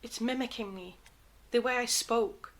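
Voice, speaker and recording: A woman's voice: a little high, unhurried, fairly bright tone. A woman playing an unsettled television presenter in an audio drama, speaking in clipped phrases. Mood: unsettled, disbelieving.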